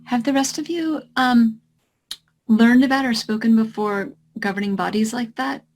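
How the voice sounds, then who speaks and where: A woman moderating a panel, asking the guests questions in a measured, inquisitive way. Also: a little high, darkish tone.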